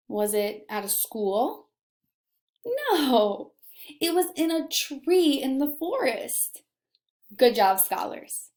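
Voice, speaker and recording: A woman's voice: sits high, warm tone. A woman teacher reading a children's story aloud with dramatic emphasis and talking directly to her young pupils. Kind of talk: expressive children's story read-aloud and lesson.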